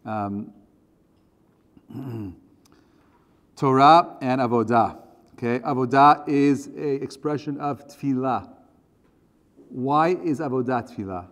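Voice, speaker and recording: A man's voice: mid-range voice, unhurried. The man you would hear at an evening synagogue class, an earnest rabbi asking the listeners question after question, his words ringing on in the big room. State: voice strained, choking up. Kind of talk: religious lecture, conversational. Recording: reverberant hall.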